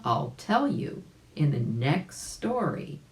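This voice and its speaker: Woman, children's storybook narration, animated pitch swings, unhurried, echoey room. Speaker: volunteer storybook reader.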